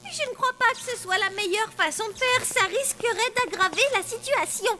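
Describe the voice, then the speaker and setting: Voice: very high-pitched, expressive, normal speed, noisy background. A performer voicing an anxious, sensible young zombie child in a French children's cartoon. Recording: loud music behind the voice.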